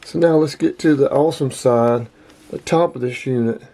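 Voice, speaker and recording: Male hobbyist host, informal and plain-spoken, medium pitch, talking through a casual gear review. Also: normal speed, dark tone. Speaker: host of a radio hobby channel.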